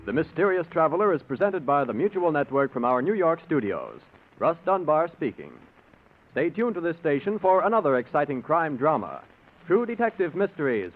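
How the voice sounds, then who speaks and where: A man announcing for a radio network in a formal 1940s broadcast style. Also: on the high side. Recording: tinny old radio recording.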